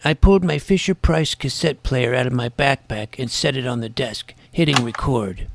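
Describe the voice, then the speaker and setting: A man's voice: mid-range voice, normal speed, creaky, noisy background, close to an everyday voice. A man playing an earnest, imaginative boy detective, narrating his own case in wordy, mock-serious audio drama style. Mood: earnest, suspenseful. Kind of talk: scripted first-person narration with dialogue.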